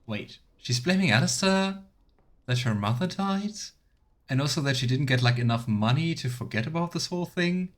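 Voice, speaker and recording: A man's voice: fairly high pitch, animated, normal speed. Wry man doing casual game commentary. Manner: wry, sarcastic asides. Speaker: video game streamer. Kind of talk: casual let's play commentary with dialogue read aloud.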